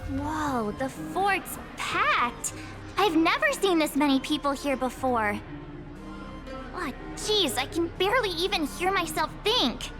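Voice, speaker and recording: A woman playing an expressive, loyal and curious teenage girl adventurer in a video game. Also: sits high, slow pace, full character voice. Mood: overwhelmed. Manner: exclamatory and expressive. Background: game music.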